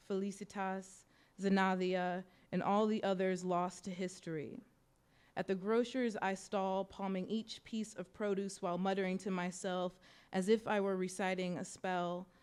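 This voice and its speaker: A young American woman reading her own personal essay aloud at a bookstore event, in a measured, reflective way. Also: medium pitch, clear, resonant tone. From United States. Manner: measured, reflective, softly expressive delivery. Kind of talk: literary reading of personal prose. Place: bookstore event in front of an audience.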